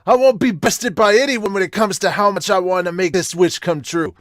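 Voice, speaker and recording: A man voicing a brash, fired-up teenage boy pitcher in an amateur manga dub, loud and boastful. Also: a little fast, no echo, clean studio sound, natural voice. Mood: fiercely determined.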